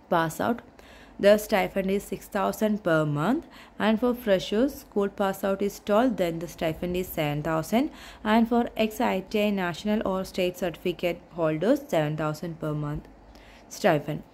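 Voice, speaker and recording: A woman's voice: fairly low pitch, a little mellow, slight background noise. A woman reading through a recruitment notice point by point, methodical, with small restarts. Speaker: job notification explainer.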